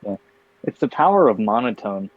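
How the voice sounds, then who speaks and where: A young man co-hosting a casual chat show, talking in a tired, flat monotone with bursts of laughter. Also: lowish voice, normal speed, crowd noise. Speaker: podcast co-host. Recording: dull, dry lo-fi home mic.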